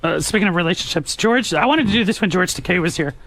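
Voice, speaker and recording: A man with a strikingly high voice that cuts through bright and sharp. He talks casually, as in a radio conversation. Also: lively intonation, fast, low-quality recording. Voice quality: unusually high-pitched voice.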